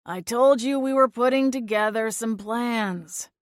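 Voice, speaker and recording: A woman voicing a gruff, dismissive male sheriff in a storybook reading, talking in an exasperated, lecturing way. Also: on the high side, a little slow, no room sound, clean studio sound, natural voice. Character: gruff dismissive small-town male sheriff, long-winded.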